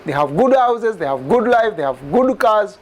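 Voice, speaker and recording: A man's voice: sing-song, moderate pace. A man, giving a personal testimony as an immigrant and repeating phrases for emphasis. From Uganda. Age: 40s. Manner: emphatic, repeats phrases, rises in pitch on key details. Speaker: immigrant telling a story.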